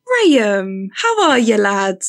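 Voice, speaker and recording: A woman's voice: mid-range voice, very animated, takes it slowly. A woman playing a mouthy, theatrical young woman with a scornful manner in an audiobook drama. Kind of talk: full-cast audiobook acting.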